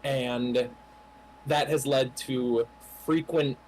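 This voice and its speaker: A man's voice: mid-range voice, steady tone, very slow, a little mellow, slight background noise, poor audio. A man, a game developer, explaining the project's progress on a casual livestream in a thoughtful way, often saying "you know.". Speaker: game developer answering questions.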